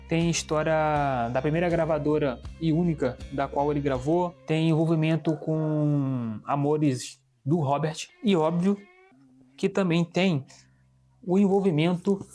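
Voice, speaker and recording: Man, Brazilian Portuguese accent, fairly high voice, chatty comic review, faint guitar music underneath. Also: a little slow, dark tone. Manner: chatty, restarts sentences, shows pages to camera. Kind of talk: casual video review.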